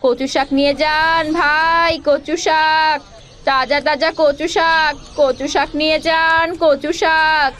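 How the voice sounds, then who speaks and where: Cartoon village mother, caring and hardworking, high female voice, faint noise behind. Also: flat delivery, slow pace, fairly bright tone. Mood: busy. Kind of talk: Bengali fairy tale cartoon dubbing.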